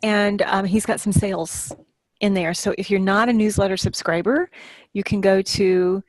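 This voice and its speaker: A woman's voice: mid-range voice, fairly even intonation, moderate pace, no echo. A woman co-hosting a podcast, talking in a casual, conversational way. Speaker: podcast co-host. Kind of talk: casual chat.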